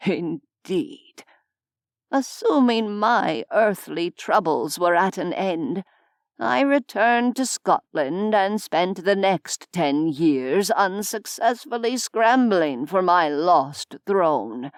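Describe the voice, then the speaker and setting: A wry, world-weary old nobleman tells his tale in an audiobook, voiced by a woman. The voice sits low and moves at a very unhurried pace. The sound is dry and close. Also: lively intonation, no room sound. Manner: slow, measured, dryly self-mocking. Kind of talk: audiobook character voice.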